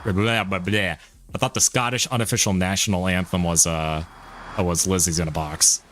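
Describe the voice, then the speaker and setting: A man's voice: sits low, steady tone, moderate pace, noisy background. A man streaming a racing game, chatting casually and rambling, often stumbling over his words. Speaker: live streamer. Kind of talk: casual gameplay commentary. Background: music.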